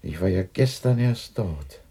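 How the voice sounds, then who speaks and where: An actor playing a reformed old miser in a 1960s radio drama, blustery and commanding, bursting with joy. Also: male voice, deep voice, expressive, a little slow, warm tone, slightly rough, full character voice. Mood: gruffly generous. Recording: noisy 1960s radio play recording.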